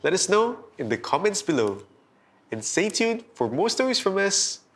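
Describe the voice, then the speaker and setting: A man narrating a scripted bedtime story for children as its host, with expressive, dramatic delivery. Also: high-pitched, normal speed.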